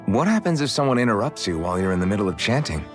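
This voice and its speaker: An actor playing an earnest, curious young adventurer in a video game, talking straightforwardly. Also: male voice, lowish voice, big pitch swings, very fast, faint music behind. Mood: curious, impressed. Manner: inquisitive, straightforward.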